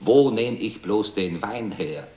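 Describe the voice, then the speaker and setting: Radio play: self-important father, slow declamation, low pitch, roomy echo. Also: lively intonation, mellow. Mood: solemn. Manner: declamatory, repetitive. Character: grave, self-important father puzzling over wine. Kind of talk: sing-song radio play verse. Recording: echoey, distant, faint music.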